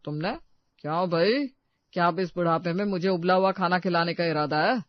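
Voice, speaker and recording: Man acting high-voiced grumbling elderly father. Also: moderate pace, no echo, lo-fi sound, natural voice. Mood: theatrical grumbling. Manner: theatrical, sarcastic complaining. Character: grumbling elderly father who loves rich food. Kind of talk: Hindi audio story dramatization.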